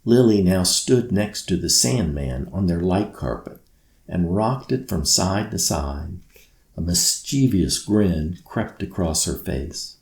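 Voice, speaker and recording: A man's voice: low-pitched, expressive, takes it slowly, creaky, darkish tone. A man reading his own children's story aloud as scripted storybook narration. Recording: roomy echo.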